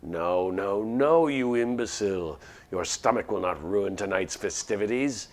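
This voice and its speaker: A man's voice: low voice, lively intonation, normal speed, breathy edge, heavily acted. A man voicing a well-spoken, sneering monster with formal, haughty diction in a spoken horror story. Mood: scolding. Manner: haughty, formal diction turning to a hushed whisper.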